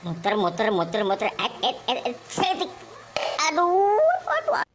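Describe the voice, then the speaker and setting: Bouncy, high singsong woman. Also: big pitch swings, a little fast, over music. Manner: bouncy, teasing. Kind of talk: playful singsong chant.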